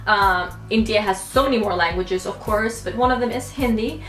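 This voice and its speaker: A woman's voice: mid-range voice, moderate pace, fairly bright tone, echoey room, soft background music. A young woman, chatting casually to camera as a YouTuber, playful and full of fillers. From United States.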